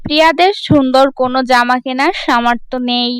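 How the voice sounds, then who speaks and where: A woman's voice: sits high, fairly quick, clear, resonant tone, no room sound, clean studio sound. A woman narrating a scripted fairy tale for children as a cartoon storyteller.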